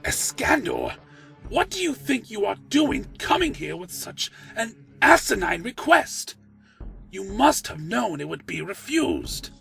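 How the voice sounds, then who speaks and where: A man playing a stern, loyal vampire officer in a fantasy audio drama, gravelly, haughty and reproachful. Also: high-pitched, big pitch swings, measured pace, bright, forceful tone, faint music behind, exaggerated voice. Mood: scolding. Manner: reproachful, emphatic.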